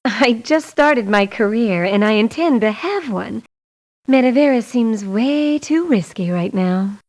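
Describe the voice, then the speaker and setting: A blunt, sassy young female mercenary from a video game. Her voice sits fairly high and swings widely, with slow, emphatic delivery of each line. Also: expressive, darkish tone. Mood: dismissive. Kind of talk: video game character voice lines. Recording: muffled lo-fi game audio clips.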